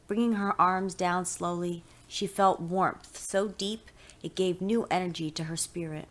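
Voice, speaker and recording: A woman's voice: fairly low pitch, fairly even intonation, a little slow. A woman reading a scripted short story aloud as its narrator.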